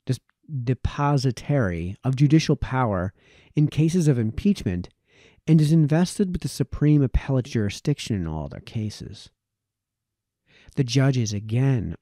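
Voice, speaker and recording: Male audiobook reader for formal historical prose: very soft-edged dark tone, lively intonation, deliberate phrasing. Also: mid-range voice, no room sound, studio quality. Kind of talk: reading an old political text aloud.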